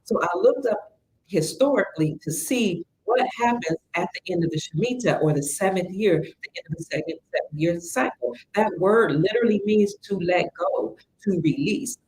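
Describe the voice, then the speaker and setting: A woman from Cleveland hosting a livestream in a chatty, excitable way, reading viewer comments and greeting them as sister. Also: medium pitch, normal speed, slight background noise, rough recording. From Cleveland, Ohio. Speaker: livestream host.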